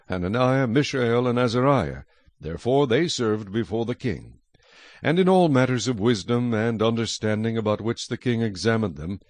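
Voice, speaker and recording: A man narrating scripture from a script in a measured, solemn manner. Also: lowish voice, darkish tone, dry recording. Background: faint music.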